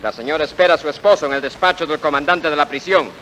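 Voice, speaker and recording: Guy playing businesslike prison guard, super fast talker, highish voice, old radio recording. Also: a bit bright, lightly acted. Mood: brisk, official. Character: brisk Spanish-speaking prison guard. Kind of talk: 1940s radio acting. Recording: noisy vintage 1940s radio recording.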